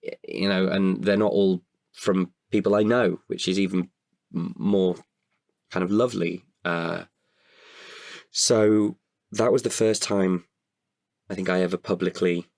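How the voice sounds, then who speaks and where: Low, creaky-voiced man talking candidly. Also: steady tone, darkish tone, no echo, clean studio sound. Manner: hesitant, halting with self-corrections. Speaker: writer-performer guest. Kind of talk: candid podcast conversation.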